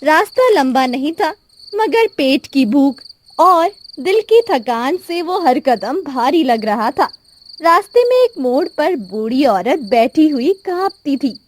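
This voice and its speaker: A woman's voice: sits high, expressive, takes it slowly, a little hiss or hum. A woman storyteller reading scripted narration for a moral tale. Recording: music behind the voice.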